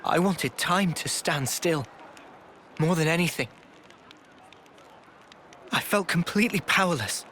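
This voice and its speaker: A man playing a gentle, earnest, resolute young hero in a video game cutscene, soft-spoken and reflective. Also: a little high, measured pace, long pauses, noisy background, lightly acted. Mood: wistful. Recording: music clearly behind the voice.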